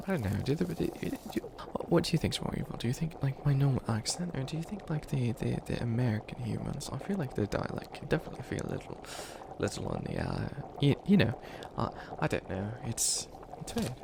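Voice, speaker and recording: A man's voice: mid-range voice, huge pitch swings, brisk, vocal fry, few pauses, background noise. A man playing a bumbling, easily flustered male witch who wants to be a villain, stammering and rambling in comedic ASMR roleplay. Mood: flustered, unsure.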